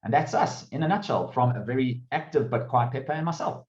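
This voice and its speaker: A man's voice: mid-range voice, brisk, darkish tone, echoey room, dull audio. A man giving a casual, upbeat presentation at an online open day, talking with fillers and restarts. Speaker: presenter of a coding course.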